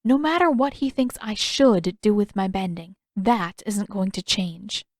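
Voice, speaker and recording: A woman's voice: mid-range voice, unhurried, no echo, studio quality. A woman voicing an earnest, determined teenage girl in an audiobook story, hesitant at times yet stubborn.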